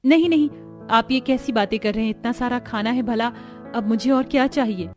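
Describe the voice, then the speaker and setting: A humble young bride acted by a woman in a cartoon family story, high in pitch, earnest and anxious. Her words are deferential, with faint music behind. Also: a little fast, a little mellow, natural voice. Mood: flustered.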